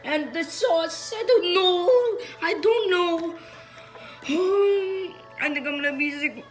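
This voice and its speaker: A woman's voice: very high-pitched, takes it slowly, soft background music. A woman voicing a fussy, complaining middle-aged male plumber plush toy in a home kitchen, recorded on a handheld phone. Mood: whiny complaining. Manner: whiny, complaining.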